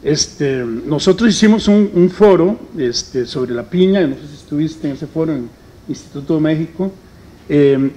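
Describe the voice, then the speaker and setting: A middle-aged man speaking on a forum panel in a conference hall, halting often with fillers. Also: fairly high pitch, darkish tone. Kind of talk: public forum talk.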